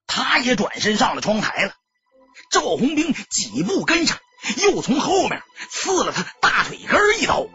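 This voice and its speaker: A man narrating an audiobook in a dramatic, emphatic storyteller's style, with a husky, rough-edged voice. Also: sits high. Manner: expressive, emphatic storytelling delivery. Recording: dull lo-fi audio, dry sound.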